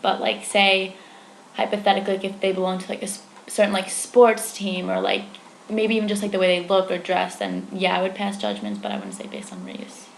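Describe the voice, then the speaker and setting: A woman's voice: mid-range voice, fairly quick, creaky, a little hiss or hum. A young woman answering interview questions in a casual, hesitant way, full of "like" fillers. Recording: echoey room.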